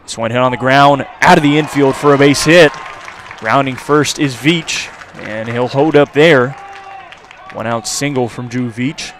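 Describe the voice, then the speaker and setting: A man's voice: medium pitch, unhurried, fairly bright tone. A man doing live radio play-by-play commentary of a baseball game from an outdoor ballpark. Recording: outdoor crowd and background noise. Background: ballpark crowd and ambient noise.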